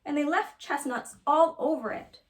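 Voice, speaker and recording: A woman's voice: high voice, normal speed, distant mic, pitched above the performer's own voice, natural voice. A woman voicing a stern but motherly middle-sized mama bear in a storybook. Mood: indignant. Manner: stern, scolding. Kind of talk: animated storybook read-aloud.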